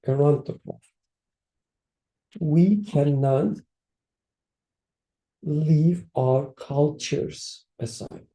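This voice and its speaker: Male discussant, unhurried and reflective, dark tone, roomy echo. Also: fairly high pitch. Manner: thoughtful, hesitant, with fillers and restarts. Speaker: discussion participant, researcher. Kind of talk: casual study-circle discussion.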